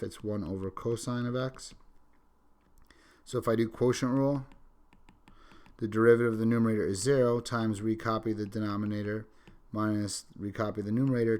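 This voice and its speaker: A man with a somewhat low voice teaches calculus while he is sick and sniffling into the microphone. He narrates each step as he writes. He stops now and then to correct himself. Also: a little mellow. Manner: talks through steps while writing, self-correcting asides. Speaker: math teacher. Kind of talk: recorded calculus lecture.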